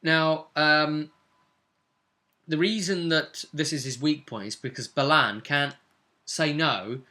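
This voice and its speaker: A man's voice: fairly high pitch, takes it slowly, bright, forceful tone. A man, a game master casually recounting a tabletop role-playing session in a chatty storytelling way. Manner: chatty, conversational storytelling with tag questions.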